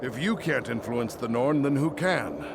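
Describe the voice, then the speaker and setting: An actor playing a blunt, impatient dwarf in a fantasy video game, with a gravelly voice. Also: male voice, medium pitch, lively intonation, normal speed, noisy background. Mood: businesslike. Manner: blunt, pressing.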